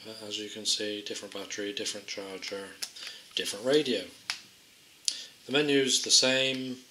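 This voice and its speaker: A man with a bright, mid-pitched voice talks through a hands-on gadget test. He is casual and understated, hesitating as he thinks aloud. The room echoes clearly around him, with faint noise underneath. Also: steady tone, moderate pace. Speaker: amateur product tester presenting a gadget. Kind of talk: casual unscripted hands-on demonstration. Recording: roomy echo, faint background noise, clicks.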